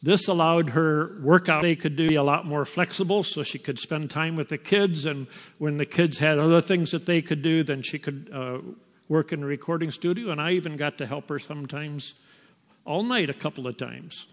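Older man telling his story in a hall. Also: on the high side. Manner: slow, unhurried storytelling with pauses. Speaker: missionary teacher. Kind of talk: personal testimony to a church. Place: church sanctuary. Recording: long reverb of a large hall.

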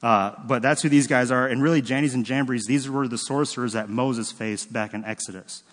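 A male pastor preaching in an easygoing, conversational manner, pausing with "um" and "uh" and rephrasing as he goes. His mid-pitched voice carries a long reverb from a large church hall. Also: fairly even intonation. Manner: conversational, self-correcting, frequent fillers. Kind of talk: sermon. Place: church sanctuary.

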